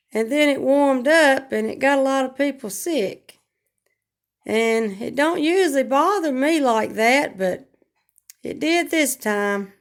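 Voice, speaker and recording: A hoarse woman, chatting slowly and plainly about her week at home into a phone camera. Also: a little high. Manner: slow, homespun, rambling talk with regional grammar. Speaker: vlogger. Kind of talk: casual home vlog chat.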